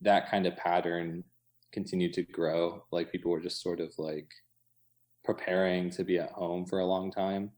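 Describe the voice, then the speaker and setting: A man's voice: deep voice, flat delivery, normal speed, darkish tone. An American man talking casually in an interview, thinking aloud in loose fragments peppered with "like" and "you know". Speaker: coffee shop owner being interviewed.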